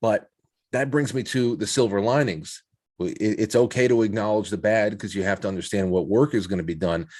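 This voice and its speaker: A man with a fairly low voice talks in a reflective, conversational interview style. He feels his way into each point, often repeating a word or two before moving on, like a podcast guest thinking aloud. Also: darkish tone. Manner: halting, repeats words as he builds points. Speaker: podcast guest, show host.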